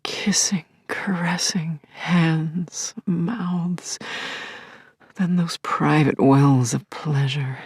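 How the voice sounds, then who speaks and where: A grieving, yearning widow in middle age, confides her story in an intimate audio play monologue. Her voice is husky and breathy, close and dry, often sinking toward a whisper. She speaks slowly and haltingly, with tender feeling. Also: deep voice, fairly even intonation, darkish tone, no room sound, full character voice. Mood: hushed, sensual. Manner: confiding, halting, emotionally charged. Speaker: lead actor in an audio play. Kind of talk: intimate first-person audio drama monologue.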